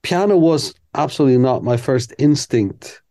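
A man's voice: medium pitch, lively intonation, moderate pace, warm tone. A man, a composer guest speaking candidly and thinking aloud in a casual interview. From Iceland.

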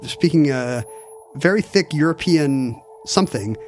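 A man's voice: fairly high pitch, expressive, measured pace, darkish tone, soft background music. A man co-hosting a podcast, bantering and telling stories in a playful, joking way. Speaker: podcast co-host. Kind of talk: casual banter and storytelling.

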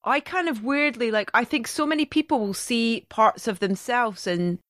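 A woman's voice: on the high side, moderate pace, fairly bright tone, dry recording, clean studio sound. A woman with a Scottish accent interviewing filmmakers, rambling and searching for words as she asks questions. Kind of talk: film interview.

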